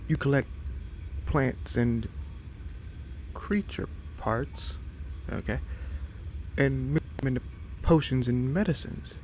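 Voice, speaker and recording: Male actor as friendly fox-boy monster, slow pace, creaky edge, hesitant, wide-eyed, apologetic, audio roleplay. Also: medium pitch, a little mellow, a little hiss or hum, rough recording. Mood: curious. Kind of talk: roleplay audio drama, addressing the listener.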